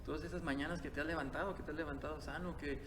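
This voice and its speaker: A man's voice: fairly high pitch, fairly even intonation, very fast. A man speaking as a guest on a reflective podcast, earnest and hesitant, with frequent fillers. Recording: echoey hall with clear background noise.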